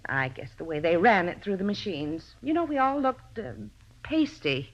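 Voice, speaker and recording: A woman's voice: mid-range voice, sing-song, moderate pace. An actress playing a touchy, self-important American landlady in a 1950s radio drama, talkative and defensive. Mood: fond, chatty. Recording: muffled old radio recording.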